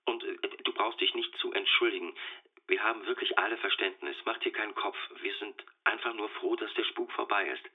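An actor playing a gentle, concerned male friend leaving casual, reassuring voicemail messages in a naturalistic radio drama. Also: fairly low pitch, brisk. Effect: telephone answering machine filter. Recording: tinny, narrow phone answering machine.

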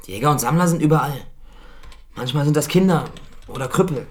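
A man's voice: fairly high pitch, lively intonation, lightly acted. Soldier under interrogation, played by an actor: male, rattled, defensive, terse answers, radio drama. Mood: guarded. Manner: terse, halting, evasive.

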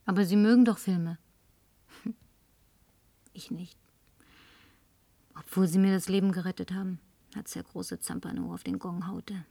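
A woman's voice: fairly low pitch, fairly even intonation, unhurried, long pauses, dark tone, no room sound. A woman dubbing a lonely, tipsy, flirtatious woman, talking in a coaxing, whispery way that at times turns demanding. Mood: confiding. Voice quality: breathy, whispery. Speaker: advertising agency woman. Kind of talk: German film dub.

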